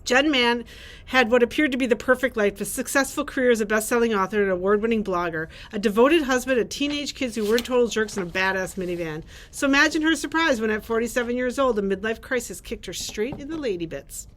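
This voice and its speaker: A woman's voice: a little high, expressive, fast talker, a bit bright, slight background noise. A middle-aged woman, a librarian, presenting book picks and reading blurbs aloud into a camera in a library. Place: library room with bookshelves.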